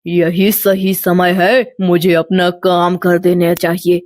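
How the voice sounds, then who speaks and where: A woman's voice: fairly low pitch, flat delivery, slow, a little mellow, dry recording, pitched below the performer's own voice. A woman voicing a scheming, jealous village man with a sly, fawning manner in a storybook tale. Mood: jealous, plotting.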